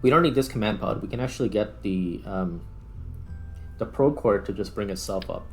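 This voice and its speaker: Male gaming host, lowish voice, casual, talks through each step as he goes; light music bed. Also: moderate pace. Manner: thinks aloud while working. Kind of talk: casual gameplay commentary. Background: background music.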